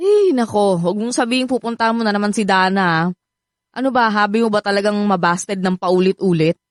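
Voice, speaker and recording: Actress as a dry-sounding, chatty Filipina confidante. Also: female voice, mid-range voice, fairly quick, no echo, natural voice. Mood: teasing. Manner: chatty, exasperated. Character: teasing, concerned female confidante. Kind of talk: Filipino radio drama acting.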